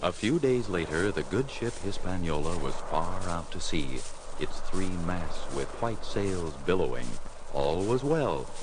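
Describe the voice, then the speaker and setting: A man with a low, animated voice narrates a children's adventure story. His delivery is dramatic and inviting, over loud music. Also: unhurried, low-quality recording. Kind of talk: scripted children's record narration.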